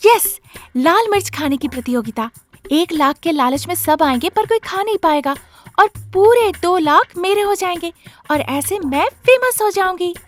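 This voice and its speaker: Excitable young bahu in a cartoon story, super high pitched, wheedling and whiny, wants fame. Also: female voice, normal speed, soft background music. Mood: gleeful scheming. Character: scheming, fame-hungry young daughter-in-law, excitable and pleading.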